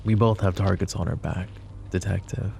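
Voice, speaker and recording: Male VA as a possessive mafia boss, very deep and gravelly, soft intimate delivery, faint music underneath. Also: steady tone, moderate pace, a little hiss or hum. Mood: protective. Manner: soft, intimate, addresses listener directly. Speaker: ASMR roleplay voice actor. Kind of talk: boyfriend ASMR roleplay.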